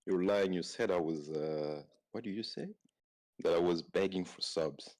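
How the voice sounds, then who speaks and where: Deep-voiced French-native man caller. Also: normal speed, a little mellow, no echo, studio quality. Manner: short plain answers, some hesitation. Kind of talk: casual chat.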